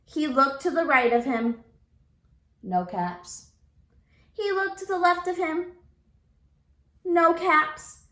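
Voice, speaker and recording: Woman teacher, children's read-aloud, high lilting voice, slow deliberate delivery, echoey room. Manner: deliberate, expressive, prompting listeners with questions.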